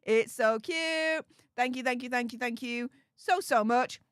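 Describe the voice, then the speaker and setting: Chatty Yorkshire woman, high voice. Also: unhurried, no echo, clean studio sound. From Yorkshire, England. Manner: chatty and animated, reads out viewer comments, laughs often. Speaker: YouTube host reading live chat. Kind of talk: casual livestream chat.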